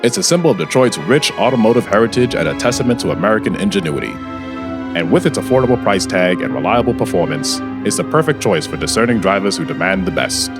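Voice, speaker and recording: A man's voice: on the low side, very animated, fast talker. A man playing a confident 1960s radio commercial announcer, selling with showy enthusiasm. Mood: enthusiastic. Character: booming, confident 1960s male car advert announcer. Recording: music bed behind the voice.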